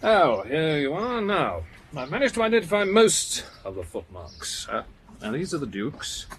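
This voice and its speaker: Husky, earnest police detective. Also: male voice, on the high side, wildly swooping pitch, moderate pace, fairly bright tone, exaggerated voice. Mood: explaining, earnest. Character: methodical, earnest English police detective, loyal friend. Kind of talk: British radio drama acting.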